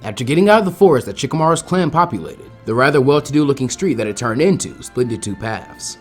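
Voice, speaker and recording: A man reading a story aloud as narrator, in an animated scripted style. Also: mid-range voice, quick pace, soft background music.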